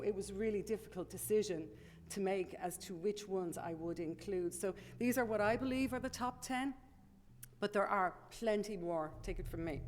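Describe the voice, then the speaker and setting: A woman's voice: mid-range voice, a little mellow. A middle-aged woman with a Dublin Irish accent gives a chatty, self-deprecating conference talk from a podium microphone, with many ums. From Ireland. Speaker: conference presenter. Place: large conference room. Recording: long hall reverb, faint room noise.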